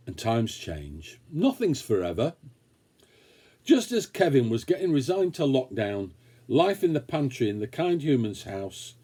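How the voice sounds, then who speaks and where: A man reading a children's story aloud, expressively and with dramatic pauses. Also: mid-range voice, dark tone, breathy edge. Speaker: story reader. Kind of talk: scripted children's story narration.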